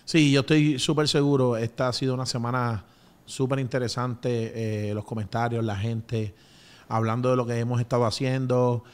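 A middle-aged man with a Puerto Rican accent interviews as a show host, in halting phrases, close to a studio microphone. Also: medium pitch, flat delivery, smooth. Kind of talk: conversational interview. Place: small indoor studio. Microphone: close studio microphone on a boom arm.

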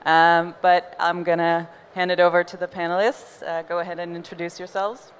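A woman's voice: lowish voice, flat delivery, normal speed, clear, resonant tone. Belgian woman with an American accent moderating. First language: not English. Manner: casual, loose, with frequent fillers. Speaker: panel moderator. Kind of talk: moderating a conference panel. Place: large conference hall stage. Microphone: handheld mic.

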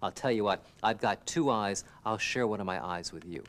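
A man voicing a large, generous male buffalo who talks in a slow, kindly way. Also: fairly low pitch, a bit bright, slight background noise, natural voice.

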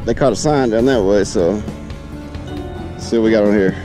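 A man's voice: on the low side, normal speed, music in the background. A man with a Southern US accent giving casual, folksy commentary in short offhand remarks as he hosts an outdoor vlog, recorded on a body-worn action camera. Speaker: video host, hobby magnet fisher. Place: outdoors on a bridge over a river.

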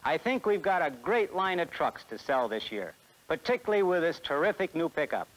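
A man's voice: fairly high pitch, big pitch swings, a little fast, a bit bright, poor audio. A man in his forties with an American accent giving a scripted sales presentation in a 1960s promotional film. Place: film studio beside a truck.

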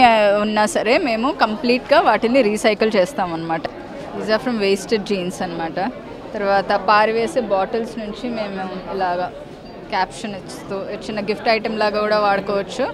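Brief: woman exhibitor, mid pitch, earnest explanation, crowd chatter all around. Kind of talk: product explanation. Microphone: handheld mic.

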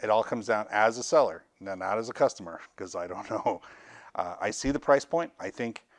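A man's voice: lowish voice, normal speed, no room sound, clean studio sound. A middle-aged man talking casually to camera as a gear seller and reviewer, explaining in a rambling way and qualifying his opinions. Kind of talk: casual product talk to camera. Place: indoors, small cluttered audio gear shop.

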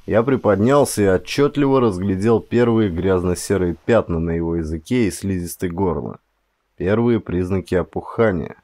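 Male actor for dark fiction audiobook: low voice, expressive brooding delivery, very little room sound. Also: normal speed, dry recording. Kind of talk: dramatic first-person fiction narration.

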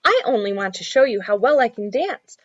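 A clever storybook turtle played by a woman with a fairly high, animated voice. She coaxes and reassures like a calm little trickster, in a dry, close sound. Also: a little fast, dry recording, muffled recording. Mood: coaxing. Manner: coaxing, reassuring.

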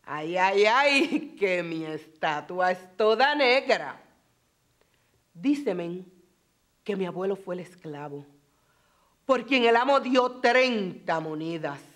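A woman in her forties with a Puerto Rican accent and Spanish as her first language, reciting poetry dramatically and emphatically with deliberate pauses. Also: medium pitch, animated. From Puerto Rico. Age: 40s. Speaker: bilingual special education teacher.

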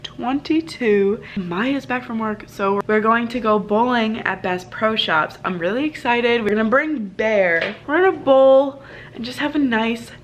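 A woman's voice: on the high side, a little slow, a little hiss or hum. A college student in her twenties, vlogging casually and upbeat to a handheld camera, chatting to her dog as she goes. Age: 20s. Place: dorm room, indoors. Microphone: camera held at arm's length.

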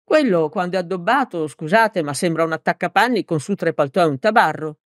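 A woman's voice: lowish voice, animated, fairly quick, no echo, studio quality, lightly acted. A woman voicing a big, hot-tempered male village mayor in a storybook, blustering and clumsily formal with emphatic delivery. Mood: awkward, deferential.

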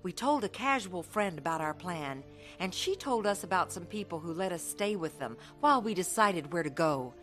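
A woman playing a grown woman looking back on her youth, in measured, scripted radio drama narration. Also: lowish voice, expressive, a bit bright, soft background music. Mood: reflective. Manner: measured, reflective.

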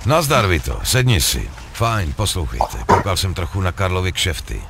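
An actor playing a cool, ruthless gangster in a video game, talking in coarse, persuasive slang. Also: male voice, deep voice, very animated, a bit bright, a little hiss or hum, heavily acted. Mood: calm, scheming. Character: scheming male gangster pitching a drug deal, cool and ruthless. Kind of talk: acted video game dialogue.

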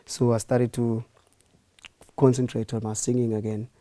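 A man's voice: mid-range voice, normal speed, dry recording. A young man, an aspiring opera singer, telling his story casually in a studio interview. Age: young adult. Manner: relaxed storytelling with fillers.

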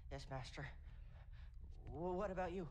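A man's voice: sits high, moderate pace, a little hiss or hum, close to an everyday voice. A man playing an earnest, anxious teenage boy Jedi apprentice in a video game, youthful and questioning.

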